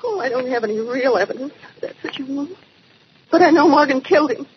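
An actress playing a bitter, weary widow in a halting, dramatic 1940s radio style. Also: female voice, high voice, lively intonation. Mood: accusing. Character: bitter, weary adult widow of a murdered man. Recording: muffled, very noisy old radio recording.

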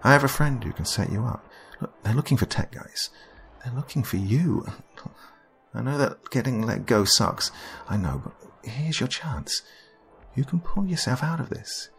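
A man's voice: mid-range voice, lively intonation, moderate pace, creaky, a bit bright, voice pushed up. A man voicing an angry, caring young woman who is blunt and pleading in an audio story.

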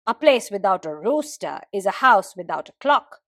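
A woman's voice: medium pitch, big pitch swings, moderate pace, a bit bright, no room sound, studio quality. A woman voicing a proud, confident rooster in a folktale, speaking emphatically in proverbs. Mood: proud, assertive.

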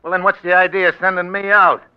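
A man's voice: sits high, little pitch movement, quick pace, clear, resonant tone, lightly acted. An actor playing a brash, stubborn tough guy on the rise in a 1940s radio drama, slangy, uneducated and defiant. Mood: surly, challenging. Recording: dull 1940s radio recording.

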